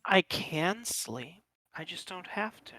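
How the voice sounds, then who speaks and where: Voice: medium pitch, animated, slow pace, pushed and bright, no room sound, pitched above the performer's own voice. A performer voicing a mild, polite elf traveler with a hesitant, understated way of talking. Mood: mild, unsure.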